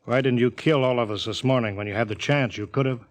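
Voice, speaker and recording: An actor playing a steady, reasoning rocket crew captain in a 1950s radio drama, terse and measured. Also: male voice, medium pitch, close to an everyday voice. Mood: calm, probing. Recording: old 1950 radio recording.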